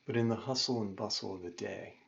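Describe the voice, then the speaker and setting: A man leading a guided meditation as a yoga teacher, calm and gentle, giving measured instructions with pauses. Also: on the low side, gravelly. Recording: dull lo-fi recording with faint noise.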